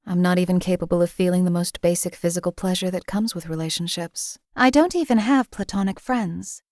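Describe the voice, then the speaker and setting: A woman voicing a cold-blooded female assassin companion in a game, flat, clipped and detached. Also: on the low side, fairly quick, dry recording, clean studio sound, lightly acted.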